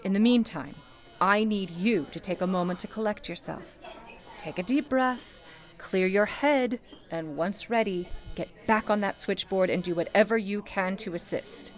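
A woman acts as a motherly switchboard supervisor in an old-time radio play. Her voice sits at a middle pitch. She instructs briskly but with a steadying, nurturing kindness. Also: natural voice. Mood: calm, instructing.

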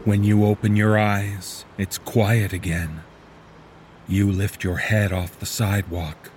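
A man reading a scripted second-person horror story in a measured, deliberate manner. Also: fairly low pitch, little pitch movement, a little mellow, slight background noise.

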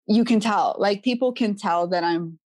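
A woman's voice: mid-range voice, moderate pace, darkish tone, dry recording. Chatty woman with a Quebec accent. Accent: Quebec French. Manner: chatty, fills with "you know" and "right?". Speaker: guest. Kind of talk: casual podcast conversation.

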